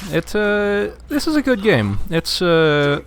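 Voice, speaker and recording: A man's voice: high voice, sing-song, slow pace. A man joining as guest co-commentator, chatting casually about games in a jokey, anecdotal way with hesitant phrasing. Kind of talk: casual game chat. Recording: faint background noise.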